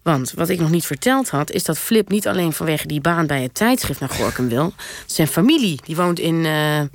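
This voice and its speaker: A woman playing a chatty, obliviously earnest interviewee in a deadpan radio comedy, rambling in a hesitant, matter-of-fact way. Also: low-pitched, normal speed, no echo. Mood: earnest, unbothered.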